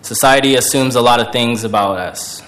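An American man in his twenties delivering a prepared personal speech to an audience in a deliberate, declarative way. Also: mid-range voice, fairly bright tone. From Portland, United States. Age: 20s. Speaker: speaker, veteran and student.

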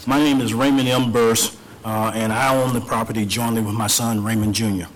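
A hoarse older man, an appellant property owner, giving an earnest, measured formal statement at a public hearing. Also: fairly low pitch, level tone, a little hiss or hum, rough recording.

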